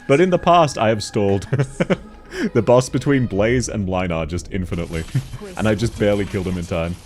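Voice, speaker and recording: A young man with a deep voice streaming a game, chatting casually with viewers, reading their messages aloud and laughing often, a little stuffy from hay fever. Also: normal speed, a little mellow. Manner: giggly, reads chat aloud and answers it, frequent laughs. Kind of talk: casual game livestream chat.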